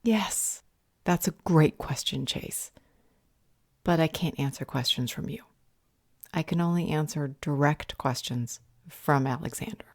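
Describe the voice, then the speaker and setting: Actress as cryptic vampire woman, low voice, dry wit, composed, unhurried, audio drama. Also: a little mellow, no echo. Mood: urgent, cryptic. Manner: measured, polite, dry. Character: composed, cryptic female vampire healer, dryly sarcastic. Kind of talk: audio roleplay drama acting.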